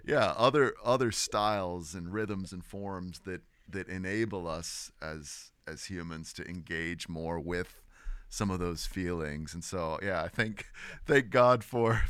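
Guy hosting a chat, smooth lowish voice, kinda halting, repeats words as he thinks. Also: a bit bright, dry recording. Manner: halting, repeats words while forming thoughts. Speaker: interview host, lecturer. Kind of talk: casual conversational interview.

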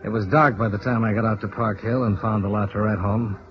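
An actor playing a hard-boiled American insurance investigator in a vintage radio drama, cool, terse and steady in narration and dialogue. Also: male voice, lowish voice, brisk, close to an everyday voice. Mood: somber narration. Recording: muffled, noisy old radio recording.

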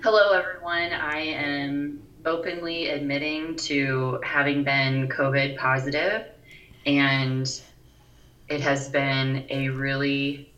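A woman in her forties with a very low, scratchy voice chats as a podcast co-host. She talks haltingly, pausing and filling gaps with ums. She sounds set back from the mic in a roomy space, with a faint hiss behind her. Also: flat delivery, a bit bright, far from the mic, lo-fi sound. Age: 40s. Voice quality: scratchy, deep voice. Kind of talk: casual chat. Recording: echoey room, voice a bit off-mic, faint noise.

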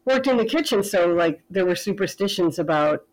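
Thoughtful woman author, measured talk. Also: lowish voice, expressive, poor audio. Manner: thoughtful, measured, some fillers. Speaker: author guest. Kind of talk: casual interview chat.

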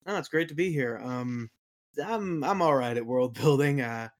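A man's voice: mid-range voice, moderate pace. A man being interviewed as a podcast guest, talking informally with dry, self-deprecating humour. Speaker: podcast guest, game master and worldbuilder. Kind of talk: casual interview conversation.

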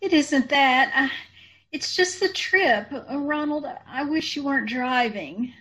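Worried mother of a grown son, played by a woman, high and slow, hesitant, pleading, radio drama. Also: fairly bright tone. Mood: worried, tearful. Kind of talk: old-time radio drama acting.

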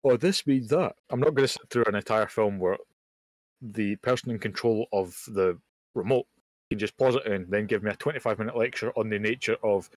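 A young man co-hosting a casual podcast, talking bluntly with casual swearing and quick jokey asides. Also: fairly low pitch, no echo. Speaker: podcast co-host. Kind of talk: casual chat. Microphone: home mic over a voice call.

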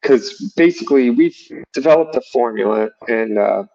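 A man's voice: medium pitch, moderate pace, vocal fry, warm tone, dull audio. A man chatting casually as an interview guest, explaining things and acting out bits of imagined dialogue. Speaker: gun shop owner, podcast guest.

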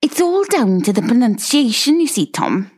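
A woman's voice: on the high side, very animated, moderate pace, darkish tone, husky. A woman playing a chirpy, matter-of-fact TV producer in a comedy sketch.

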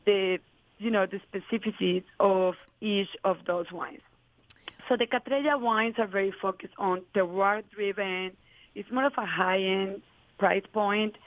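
A woman's voice: medium pitch, dry recording. Woman winemaker, earnest guest, dry thin phone-like audio. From Colombia. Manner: earnest, flowing explanations with many fillers. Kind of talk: interview answers.

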